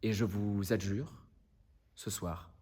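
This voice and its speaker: Actor as solemn defence lawyer, slightly high-voiced man. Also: lively intonation. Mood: grave, hushed pleading. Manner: solemn, rhetorical, deliberate phrasing. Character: earnest, impassioned male defence lawyer pleading to a jury. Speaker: actor performing a monologue. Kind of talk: theatrical courtroom closing speech.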